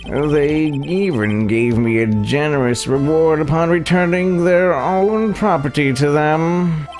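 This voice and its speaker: A man's voice: a little high, a little mellow. A man voicing a pompous, smug detective who boasts of being a master thief in a visual novel, grandiose and condescending. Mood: smug boasting.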